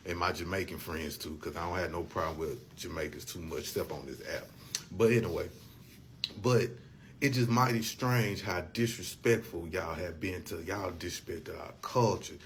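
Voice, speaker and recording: An American man with a lowish voice rants in a colloquial, impassioned way. He leans on repetition and rhetorical questions for emphasis. Faint ambient noise sits behind him. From United States. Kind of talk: impassioned informal social media rant.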